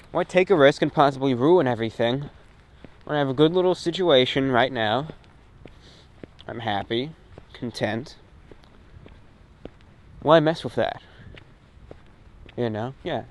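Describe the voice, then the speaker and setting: Guy with a mid-range voice just rambling on reflectively for his show, short phrases, outside on a walk, noisy background. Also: mid-range voice. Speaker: host of a radio and online show. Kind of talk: casual unscripted monologue. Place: outdoors, recorded while walking. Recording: clear ambient noise behind the voice.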